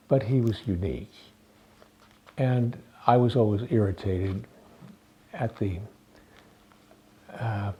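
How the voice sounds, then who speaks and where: An American man in his eighties recalls old times in an interview. His voice is low and husky, with an aged grain to it. He speaks slowly in short phrases, pausing often as he tells his anecdotes. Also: dull audio. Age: 80s or older. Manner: unhurried, with long pauses between phrases. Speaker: interviewee, veteran photographer. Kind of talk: reminiscing interview, anecdotal storytelling. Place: small indoor room with framed photographs.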